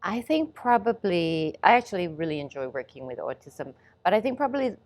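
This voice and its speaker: Low-voiced woman raised in England. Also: big pitch swings, brisk. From Ghana, raised in England. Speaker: guest, movement and reflex specialist. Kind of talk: interview answers.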